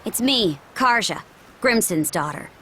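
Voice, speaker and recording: An actress playing a frank, capable young daughter of a seafaring chief in a video game, casual and matter-of-fact. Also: female voice, medium pitch, expressive, measured pace, sharp tone, slight background noise. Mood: brisk, frank. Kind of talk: video game cutscene acting.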